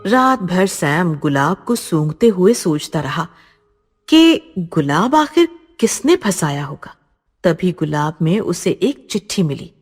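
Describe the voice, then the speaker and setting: A woman's voice: on the low side, sing-song, slow pace, breathy edge. A woman reading scripted horror story narration in a dramatic, suspenseful manner.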